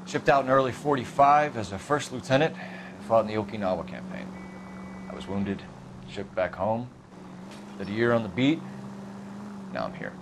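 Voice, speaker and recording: An actor playing an earnest, intense young American rookie detective and war veteran in a video game cutscene, clipped and serious. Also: male voice, lowish voice, animated, moderate pace, long pauses, background noise. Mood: guarded, formal. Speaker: police detective.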